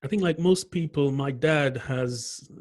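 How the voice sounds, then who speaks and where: A man from Nigeria, an agile marketing consultant, telling his story as a guest in a conversational interview. He talks in a reflective, unhurried way and often fills with "yeah". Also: mid-range voice, crowd noise. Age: adult.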